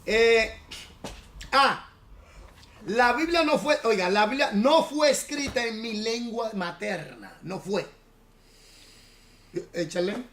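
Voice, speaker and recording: A man's voice: very high-pitched, animated, slow, pauses often, a bit bright. A man who is a pastor leading a Bible study class, explaining at length and putting questions to his students. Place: church classroom. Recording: echoey room.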